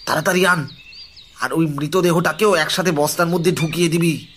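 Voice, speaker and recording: A man playing a shady, bossy ringleader in an audio horror story, giving curt, urgent commands in a hushed way. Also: on the high side, steady tone, a little fast, slight background noise, natural voice. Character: shady, bossy male ringleader covering up a crime.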